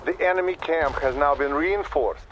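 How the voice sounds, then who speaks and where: An actor playing a thoughtful Soviet intelligence officer with a Russian accent, giving measured, matter-of-fact reports in a military video game. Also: male voice, on the high side, a bit bright, lightly acted. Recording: dull radio sound, faint battle noise.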